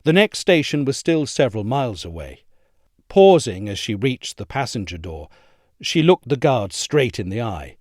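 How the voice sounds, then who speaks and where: Man, audiobook-style essay narration, expressive storytelling, dry close recording. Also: mid-range voice, no echo. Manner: measured, expressive storytelling read. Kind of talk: scripted narration.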